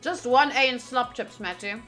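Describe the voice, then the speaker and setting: A woman's voice: a little high, moderate pace, a bit bright, soft background music. A woman game streamer reading game text aloud and chatting informally with casual asides.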